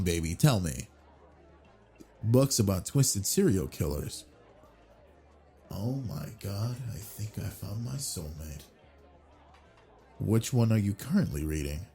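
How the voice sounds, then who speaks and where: A man playing a soft-spoken, dangerous yandere charmer. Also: on the low side, exaggerated voice. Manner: quiet brooding inner monologue, then smooth flirty charm. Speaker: audio roleplay performer. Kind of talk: scripted audio roleplay.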